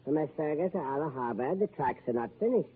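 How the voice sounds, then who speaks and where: An actor playing a deferential, hesitant railway conductor, in 1940s radio acting on a tinny old recording. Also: male voice, on the high side, expressive, fast talker, slight background noise, lightly acted.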